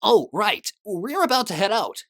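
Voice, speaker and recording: A woman playing a goofy, theatrical teenage boy, a protective brother, in an animated comic dub. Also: medium pitch, unhurried, dry recording, clean studio sound. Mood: casual.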